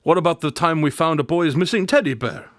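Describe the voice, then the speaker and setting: A man's voice: a little high, brisk, a bit bright, dry recording, lightly acted. A man acting as a boastful, inquisitive detective in a radio drama, talking in a dry, precise, wordy way. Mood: dry, wry.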